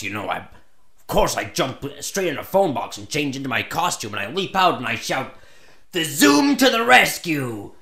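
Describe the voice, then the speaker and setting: A man's voice: on the high side, full character voice. An actor playing a short-tempered, frustrated superhero telling his grievances with indignation in a comedy sketch. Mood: awkward, frustrated. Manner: ranting, indignant, hesitant when calm. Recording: echoey room.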